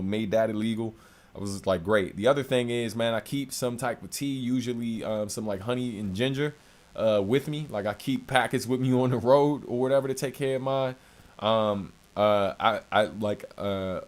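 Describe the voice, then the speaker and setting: A man with a deep, low voice, hosting a casual livestream Q&A from his home studio in a relaxed, conversational way. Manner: relaxed, conversational, many fillers. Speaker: rapper and producer hosting a livestream.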